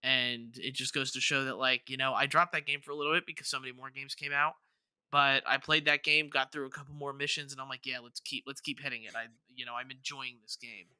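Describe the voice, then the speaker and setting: A man's voice: mid-range voice, flat delivery, brisk, pushed and bright, clear, resonant tone, dry recording. A man co-hosting a gaming podcast, chatting casually and circling back over his words as he talks. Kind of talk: casual chat about video games.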